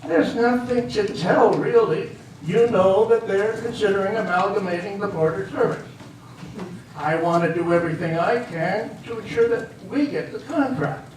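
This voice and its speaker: A man's voice: high-pitched, moderate pace, close to an everyday voice. An amateur actor, a man, playing a defensive, self-important small-town politician in a stage reading, flustered and blustering. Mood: flustered, evasive. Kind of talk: amateur readers theater comedy acting. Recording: very echoey hall from far off, faint hiss.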